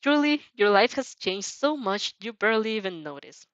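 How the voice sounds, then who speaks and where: A woman's voice: medium pitch, animated, normal speed, fairly bright tone, dry recording, dull audio. A woman reading fan fiction aloud as informal storytelling, giggly and prone to breaking off with comments. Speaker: fan fiction reader and dubber.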